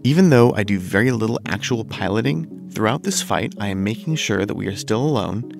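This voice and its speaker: Low gravelly man with a cold. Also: expressive, music in the background. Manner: measured, analytical. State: recovering from a cold. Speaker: gaming video host. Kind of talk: scripted game commentary.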